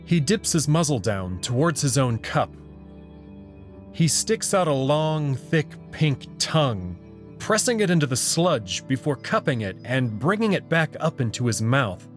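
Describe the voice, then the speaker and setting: A man reading a visual novel aloud as its narrator, in an expressive storytelling style. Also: medium pitch. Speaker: narrator and voice performer.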